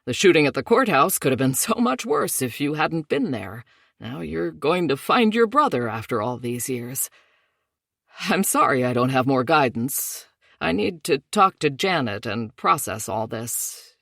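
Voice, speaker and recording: A narrator voicing a gentle, reassuring father on a call. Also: medium pitch, very animated, measured pace, gravelly, no echo, studio quality, heavily acted. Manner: soft, reassuring. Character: distant gentle father over a phone call.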